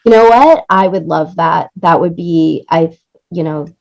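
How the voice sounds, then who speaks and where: A woman's voice: lowish voice, measured pace, gravelly, a little mellow, poor audio. A woman in her late thirties talking casually in an interview, emphatic and repeating her points for stress. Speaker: interview guest, music supervisor and producer.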